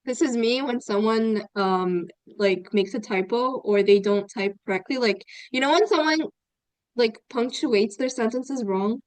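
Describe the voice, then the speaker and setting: A woman's voice: on the high side, measured pace, no room sound, low-quality recording. Young woman co-host; easygoing, advice-giving chat; dry close sound. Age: young adult. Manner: relaxed, gives listeners friendly advice. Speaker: podcast co-host. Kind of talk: casual podcast chat.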